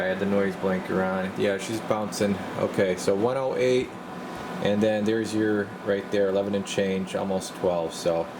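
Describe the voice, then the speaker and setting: A man with a fairly low voice talks casually through a hands-on bench test. He sounds like a technician, informal and half muttering to himself as he goes. The recording is very noisy, with a heavy hum behind him. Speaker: radio repair technician presenting his bench test. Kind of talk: casual off-the-cuff demonstration commentary. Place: indoor radio repair bench.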